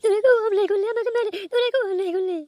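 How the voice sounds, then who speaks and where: A woman puts on a defiant little cartoon boy who has been captured. The voice is extremely high and squeaky, quick and indignant, spitting threats. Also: steady tone, heavy background noise. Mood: furious. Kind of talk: amateur comedy cartoon dubbing.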